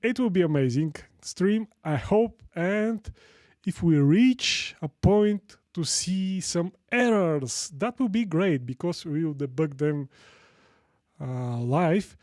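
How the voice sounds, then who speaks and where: A man from Sofia with a Bulgarian accent hosting a casual live tech tutorial, chatting with viewers in a halting way and repeating phrases for emphasis. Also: fairly high pitch, a little mellow, dry recording, clean studio sound. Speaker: live stream host.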